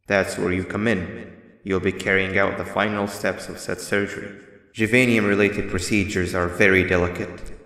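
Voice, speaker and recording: A guarded creature guide, with a low, steady voice. He gives instructions in a calm, measured way. Everything is bathed in a roomy, lingering reverb. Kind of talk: video game voice acting. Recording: echoey reverberant game audio.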